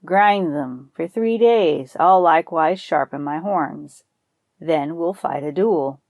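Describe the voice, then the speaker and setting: A woman's voice: sits low, slow pace, darkish tone, no room sound. A woman voicing a proud, long-suffering male buffalo in a folktale, with an emphatic, defiant delivery. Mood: challenging.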